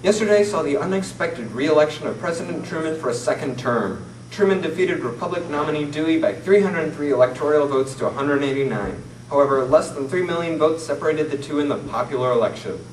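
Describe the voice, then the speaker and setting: A teenage boy reading a mock 1940s newscast from paper at a classroom table, in a formal, steady manner. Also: on the high side, brisk, few pauses. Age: teens. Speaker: student newsreader. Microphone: far camera mic. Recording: roomy, distant camera audio with faint hiss.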